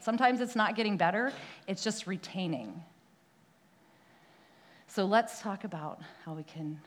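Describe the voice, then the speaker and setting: A young woman preaching a church sermon in a conversational way, often asking "right?", with a prayer and a scripture reading. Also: lowish voice. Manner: conversational, frequent tag "right?", some restarts.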